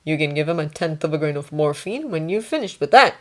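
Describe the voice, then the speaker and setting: Woman playing a brisk, authoritative army doctor. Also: low-pitched, expressive, a bit bright. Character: brisk, composed male army doctor, a major.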